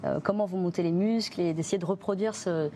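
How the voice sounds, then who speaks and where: A woman's voice: fairly low pitch, fairly quick, dark tone, slight background noise. A woman animator describing her craft in an interview, thinking aloud.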